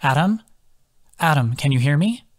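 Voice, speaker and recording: A man voicing a brisk, commanding woman druid healer in an audiobook, urgent and direct. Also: fairly high pitch, animated, pitched above the performer's own voice. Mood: focused, firm. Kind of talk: audiobook character voice.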